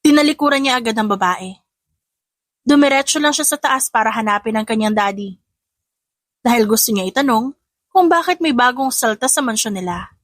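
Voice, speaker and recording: A woman with a fairly high voice narrates a scripted story. Her reading is dramatic storytelling. The recording is dry, with no room sound. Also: brisk, long pauses, no room sound.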